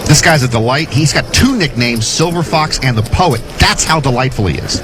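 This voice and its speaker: Man, color commentator, dry wisecracking asides, medium pitch, noise behind. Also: lively intonation, normal speed. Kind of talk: wry sports color commentary.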